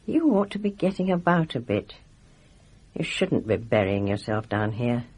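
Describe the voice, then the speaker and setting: Meddling woman, village nurse in radio drama: knowing, husky, animated. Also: rumbling low, normal speed, full character voice. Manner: confiding, advising, knowing. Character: nosy, gossipy female village nurse.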